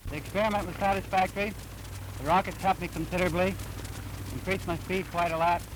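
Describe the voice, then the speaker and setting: Stiff, quick-talking 1930s pilot, hissy film. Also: male voice, high-pitched, steady tone. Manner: stiff, recited. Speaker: glider pilot. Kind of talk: stiff prepared statement to camera. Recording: hissy old 1930s newsreel sound.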